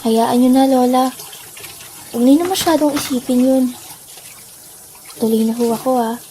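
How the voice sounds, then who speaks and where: A woman playing a gentle, caring teenage girl in a radio drama, earnest and politely respectful. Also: high-pitched, moderate pace, a little hiss or hum, close to an everyday voice. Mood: soothing. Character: gentle, caring, studious teenage twin sister. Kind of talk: dramatic radio play acting. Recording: music behind the voice.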